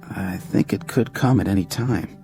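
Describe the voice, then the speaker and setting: An actor playing a candid older family doctor in a radio drama, dry and measured. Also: male voice, deep voice, gravelly, mellow, soft background music. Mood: grave.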